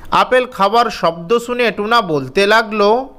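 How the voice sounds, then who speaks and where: A woman storyteller reading scripted narration for a children's animated fairy tale. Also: mid-range voice, unhurried.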